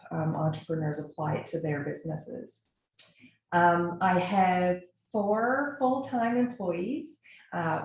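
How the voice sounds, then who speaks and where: A woman from Nova Scotia with a Canadian accent gives an informal webinar presentation in an earnest, enthusiastic way, pausing often as she goes. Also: on the low side, darkish tone. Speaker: webinar presenter, brand strategist. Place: indoor echoey room, online webinar. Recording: very echoey room, faint noise.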